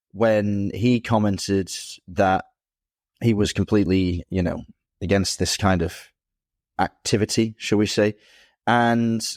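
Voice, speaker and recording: A man's voice: lowish voice, darkish tone, no room sound, studio quality. A man co-hosting a casual podcast chat, explaining things fluently and with enthusiasm. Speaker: podcast co-host.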